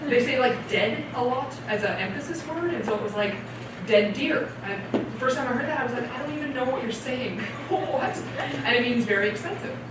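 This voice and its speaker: A chatty woman from Canada answers audience questions at a library talk, laughing often and acting out her own reactions. She is heard from across a very echoey room. Loud steady noise sits under her voice. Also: a little high, a little fast, far from the mic.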